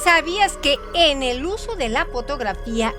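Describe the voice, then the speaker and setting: Female presenter, high voice, scripted educational narration, didactic questioning delivery, light music behind. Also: lively intonation, normal speed. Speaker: presenter of literary trivia.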